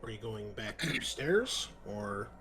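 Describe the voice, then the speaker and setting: A man running a tabletop roleplaying game as game master, narrating conversationally with halting pauses. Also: fairly low pitch, big pitch swings.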